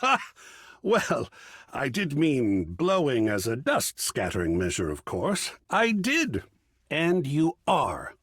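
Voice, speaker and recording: A man's voice: mid-range voice, wildly swooping pitch, slow pace, a bit bright, slightly rough, dry recording, studio quality, heavily acted. A man voicing a whimsical, pretentious living artwork in a video game, with a theatrical, florid and effusive manner. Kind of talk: video game character acting.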